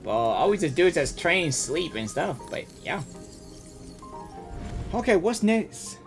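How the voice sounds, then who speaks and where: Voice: mid-range voice, very animated, takes it slowly, soft background music. A game streamer giving casual reaction commentary and reading dialogue aloud.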